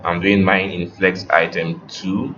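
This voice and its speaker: Male tech instructor, very low unhurried voice, walking learners through steps; muffled recording with clear background noise. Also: little pitch movement, fairly bright tone. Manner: instructional, directing students, checking on them. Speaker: web development instructor. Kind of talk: step-by-step live coding lesson.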